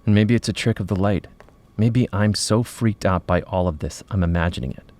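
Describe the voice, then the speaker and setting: A man's voice: on the low side, moderate pace, a little mellow, close to an everyday voice. A man playing an uneasy paranormal investigator, narrating his story confidingly in an audio drama. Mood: uneasy, suspenseful. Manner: confiding, suspenseful. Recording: faint music under narration.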